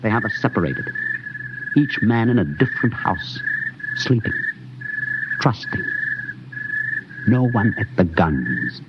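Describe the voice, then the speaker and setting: A haunted, brooding ship's doctor. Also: male voice, fairly low pitch, very animated, slow, long pauses. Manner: earnest, brooding, builds suspicion. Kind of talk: 1950s radio drama acting. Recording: noisy, dull old 1950s radio broadcast.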